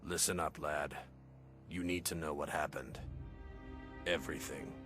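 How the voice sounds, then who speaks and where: A man playing a stern, battle-worn alien soldier in a video game cutscene, speaking gravely and deliberately with commanding weight. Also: extremely low pitch, steady tone, fairly bright tone. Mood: grim. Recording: game music behind the voice.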